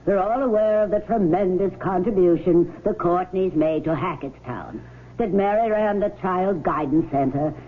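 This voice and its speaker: An actress playing a brisk, formal courtroom official who speaks with firm control, in a 1970s radio drama. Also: female voice, on the low side, slight background noise, close to an everyday voice. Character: brisk, firm female courtroom lawyer or judge. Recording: narrow vintage radio broadcast.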